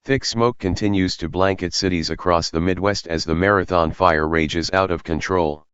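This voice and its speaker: Radio DJ, acted by a man: low, resonant male voice, polished and serious, on slightly muffled audio. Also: fairly even intonation, moderate pace, a bit bright, clear, resonant tone, dry recording. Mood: grave, composed. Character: male music-station radio host breaking grave local news. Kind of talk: scripted radio station announcement.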